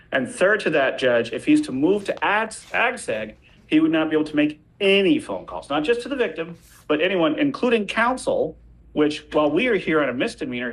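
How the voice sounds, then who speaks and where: A man's voice: a little high, a bit bright, rough recording. A man speaking as a defense lawyer in a courtroom, making an insistent but formal, courteous legal argument.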